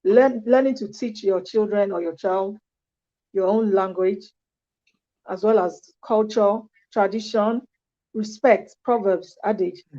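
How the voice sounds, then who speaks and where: A woman's voice: medium pitch, steady tone, a little slow, mellow. Woman host on a dull lo-fi recording. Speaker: interviewer host. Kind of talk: interview conversation. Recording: dull lo-fi sound.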